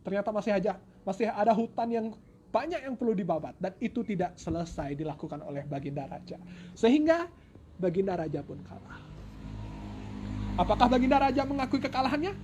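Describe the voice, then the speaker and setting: A storyteller with a mid-pitched voice narrates a folk tale in a playful, informal way. The delivery is dramatic and loosely improvised, with asides and self-corrections. Faint noise sits behind the voice in a home recording. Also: lively intonation, a little fast, dark tone. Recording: noisy home recording with ambient sound.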